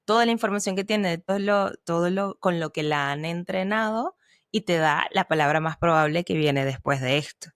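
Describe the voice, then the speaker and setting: A woman co-hosting a podcast, casually explaining things with a Spanish accent mixed with Argentine, pausing and restarting as she talks. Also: fairly low pitch, clear, resonant tone, no room sound, clean studio sound. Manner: hesitant, restarts and fillers while explaining. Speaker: podcast co-host, language teacher. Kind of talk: casual conversational chat.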